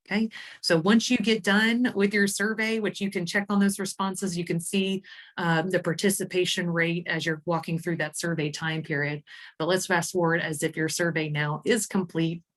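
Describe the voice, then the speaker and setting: Low voiced woman doing a webinar software walkthrough, chatty explainer style, talking into computer mic on a call. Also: fairly even intonation, normal speed. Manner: explanatory, informal, with tag questions. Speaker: presenter demonstrating survey software. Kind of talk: conversational webinar product demo. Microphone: computer mic on a screen-share video call.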